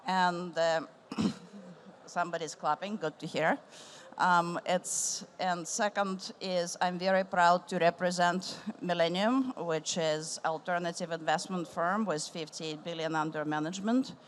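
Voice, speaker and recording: A middle-aged woman moderating a live panel on a conference stage, speaking warmly and rambling with restarts. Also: on the low side, fairly even intonation, a little slow, a little hiss or hum.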